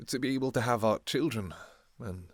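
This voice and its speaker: A man playing an ancient, devoted vampire king and doting father in an intimate romantic audio roleplay, speaking softly and haltingly with sentimental endearments. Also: medium pitch, no echo, natural voice. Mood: devoted, earnest. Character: tender, devoted two-thousand-year-old vampire king, doting father.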